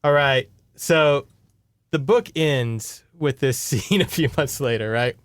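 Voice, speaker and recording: A man's voice: medium pitch, slow, clean tone, no room sound. A man co-hosting a podcast, recounting a book in casual chat with wry, mock-grandiose humor. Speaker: podcast co-host.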